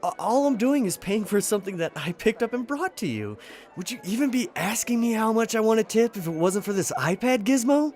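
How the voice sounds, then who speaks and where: A woman's voice: on the high side, animated, a little fast, darkish tone, faint music behind, natural voice. A woman playing a reasonable, hesitant and defensive coffee shop customer in an audio comedy sketch. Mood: exasperated.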